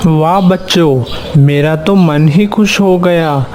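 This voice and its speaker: A man voicing a hungry, kindly uncle crow in a children's bird cartoon, with drawn-out folksy speech. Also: a little high, dark tone, a little hiss or hum. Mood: grateful, generous.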